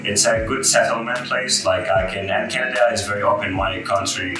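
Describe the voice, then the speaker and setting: A young man from Iraq with Arabic as his first language talks in a low, relaxed voice, full of fillers and restarts. His voice carries a roomy echo, and guitar music plays clearly behind him. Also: brisk. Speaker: guest. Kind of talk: casual interview chat.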